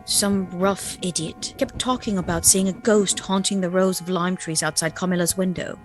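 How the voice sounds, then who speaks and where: An actress playing a haunted young gentlewoman recounting a gothic tale in a radio play. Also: on the low side, fairly even intonation, moderate pace, soft background music, close to an everyday voice. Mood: reflective. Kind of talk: dramatic first-person narration in a radio play.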